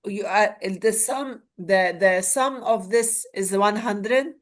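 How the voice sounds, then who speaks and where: A woman's voice: medium pitch, slow pace. A woman from Lebanon with a Lebanese Arabic accent, leading an interactive online workshop and often checking in with "okay?". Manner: repeats words, checks in with "okay?". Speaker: webinar trainer.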